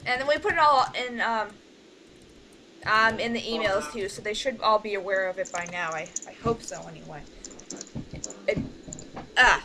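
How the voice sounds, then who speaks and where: A woman's voice: mid-range voice, moderate pace, long pauses, crowd noise, poor audio. A young woman chatting casually on a group call as host, rambling, giggly and often interrupting herself. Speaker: host of an online fan project meeting.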